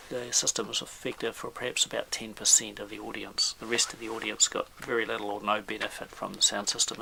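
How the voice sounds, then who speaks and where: Man, very creaky low-pitched voice, halting measured delivery, technical explainer, faint background noise. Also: bright, forceful tone, rough recording. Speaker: audio expert presenter. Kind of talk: informal technical explainer talk.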